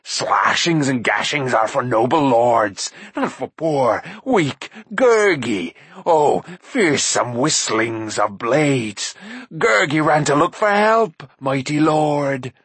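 Gurgi, a whimpering shaggy creature. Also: male voice, lively intonation, a bit bright, husky, no echo, heavily acted. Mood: groveling whine. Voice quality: high, slow, whining. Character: whimpering shaggy male forest creature, groveling.

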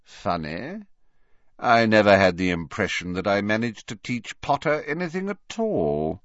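Man acting a quiet, sneering, cold teacher. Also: fairly low pitch, very animated, a little slow, no echo, highs cut off.

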